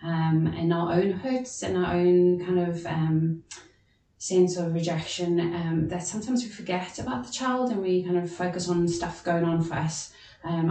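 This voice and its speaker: A middle-aged woman from South Africa with a South African accent, a social worker speaking thoughtfully with many pauses on a podcast panel. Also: gravelly, warm tone. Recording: echoey room with faint rumble.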